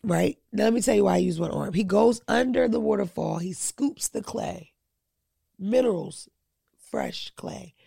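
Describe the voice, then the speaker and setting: Brief: gravelly host, loud and profane, dry close sound. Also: female voice, mid-range voice, a little slow, no echo. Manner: loud, profane, animated storytelling with put-on quotes. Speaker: podcast host. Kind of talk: casual storytelling chat.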